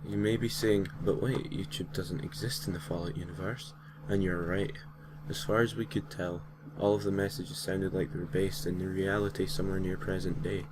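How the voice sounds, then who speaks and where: A man with a deep voice reads a creepy tale in an amateur narration style. His delivery stays flat, with the odd stumble and self-correction. The home recording has clear noise behind him. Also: normal speed, hardly any pauses. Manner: stumbles over words and corrects himself. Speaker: host reading creepypasta stories. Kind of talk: reading a creepy story aloud, amateur narration.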